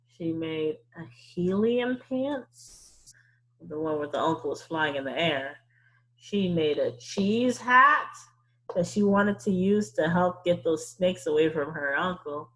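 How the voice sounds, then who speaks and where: Woman w a lowish voice talking to kids at storytime, casual, encouraging, repeats stuff to drive it home. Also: a bit bright, poor audio. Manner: encouraging, repeats words for emphasis. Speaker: children's storytime host. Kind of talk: casual explanatory talk to children.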